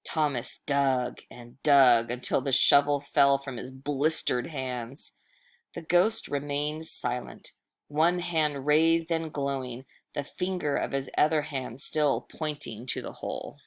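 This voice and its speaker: Low, creaky-voiced woman reading a story. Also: slow pace. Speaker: read-aloud storyteller. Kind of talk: expressive children's story narration.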